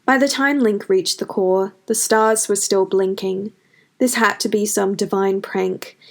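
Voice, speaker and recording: Female narrator for fantasy fiction, mid-range voice, expressive scripted storytelling. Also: mid-range voice, unhurried, gravelly.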